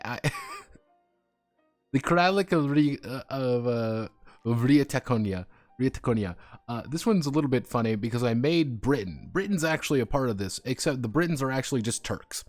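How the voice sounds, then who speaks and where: A man's voice: medium pitch, darkish tone. A man live streaming as a game designer, rambling casually between reading draft text aloud and making chuckling asides.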